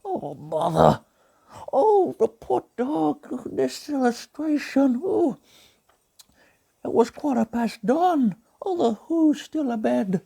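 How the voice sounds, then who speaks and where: A man voicing a gentle, childlike, honey-loving cartoon bear who reads a storybook in a husky, polite, fretting manner. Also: very high-pitched, very animated, slow, pitched above the performer's own voice, exaggerated voice. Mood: fretful, gentle. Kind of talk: storybook reading as cartoon impression.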